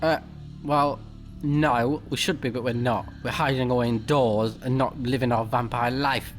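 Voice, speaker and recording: A man plays host to a visual novel, reading the text aloud and chipping in playfully. His voice sits at an average male pitch, with faint music behind it. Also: steady tone, normal speed. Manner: playful, reactive. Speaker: let's play host. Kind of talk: game commentary mixed with reading text aloud.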